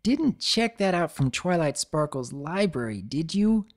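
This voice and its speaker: A woman voicing a brash tomboy girl pony who talks in a gruff, sarcastic, complaining way. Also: low-pitched, sing-song, a little slow, voice pushed up, exaggerated voice. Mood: grumbling.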